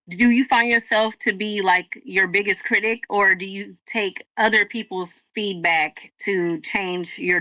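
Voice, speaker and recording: Woman interviewer, chatty, trips over her questions, bright voice, muffled call audio. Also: mid-range voice, no room sound. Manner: loose, chatty, fumbles questions.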